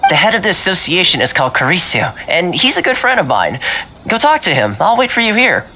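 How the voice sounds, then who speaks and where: A man playing a suave, easygoing young fixer in a video game, talking in a smooth, wry, persuasive way. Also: high voice, sing-song, a little fast, bright, forceful tone, exaggerated voice. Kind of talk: video game dialogue acting. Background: game music.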